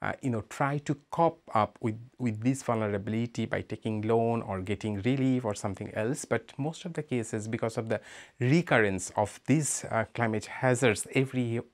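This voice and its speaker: A man from Bangladesh with a Bangladeshi accent, speaking as a migrant rights advocate in an interview. He talks in a measured, hesitant way with many "uh" fillers. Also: medium pitch. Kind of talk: interview statement.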